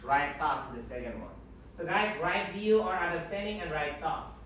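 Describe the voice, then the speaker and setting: Monk teaching slowly in an echoey room. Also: male voice, on the high side, animated, a bit bright. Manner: slow, emphatic, non-native English with repetitions. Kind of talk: teaching a group, call and response. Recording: echoey room, from far off, with noise.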